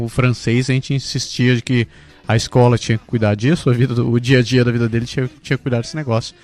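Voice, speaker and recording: A man's voice: mid-range voice, a little mellow. A Brazilian man hosting a casual podcast, telling his own story and passing questions to his co-hosts. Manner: friendly, leads the talk with questions. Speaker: podcast host.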